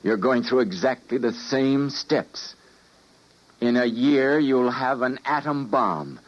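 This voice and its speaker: An actor playing a weary, idealistic scientist and time traveler, narrating and speaking gravely and dramatically in an old-time radio drama. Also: male voice, mid-range voice, expressive, slow. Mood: resigned, urgent. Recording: dull old radio recording.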